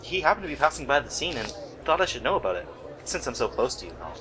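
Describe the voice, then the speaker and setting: A man's voice: medium pitch, sing-song, quick pace, a crowd in the background, lightly acted, rough recording. A man playing a casual, slightly hesitant friend of an investigator in an amateur radio play.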